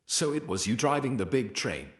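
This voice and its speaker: A man's voice: mid-range voice, very animated, normal speed, echoey room, close to an everyday voice. A man voicing a grateful young woman who speaks politely and pleadingly in an audiobook.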